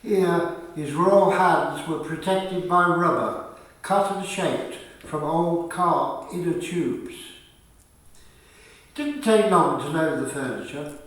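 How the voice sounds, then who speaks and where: A man narrating a scripted radio play in a measured, deliberate storytelling manner. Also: on the high side, fairly bright tone. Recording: very echoey, distant from the mic.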